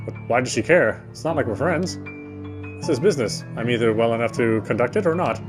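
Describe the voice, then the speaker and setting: A man's voice: mid-range voice, lively intonation, brisk. A man playing a visual novel and reading its narration aloud as he goes. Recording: game music behind the voice.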